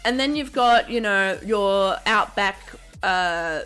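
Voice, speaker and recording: A woman's voice: medium pitch, slow pace, a bit bright, clean tone, faint music behind. A woman with a casual Australian accent chats away on her livestream in a mid-range voice. She laughs often and swears without a second thought. She talks loosely and cheerfully, like she is hanging out with her viewers. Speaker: VTuber streamer.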